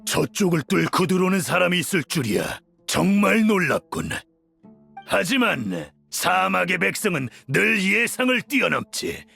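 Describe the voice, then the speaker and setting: A proud zealot commander of the desert in a video game. His voice is high-strung and gravelly, swinging widely as he issues grandiose, archaic orders. Music plays behind him. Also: sing-song, moderate pace, bright, forceful tone, full character voice. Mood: haughty, defiant. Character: fanatical, proud male desert cult commander. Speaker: voice actor in a game dub. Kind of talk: archaic, theatrical game villain acting.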